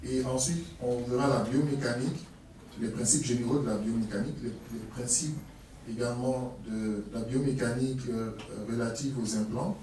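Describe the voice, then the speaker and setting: A man's voice: medium pitch, fairly even intonation, brisk, dark tone. A man moderating a course, introducing the program with hesitant fillers. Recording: distant mic in an echoey hall with faint noise.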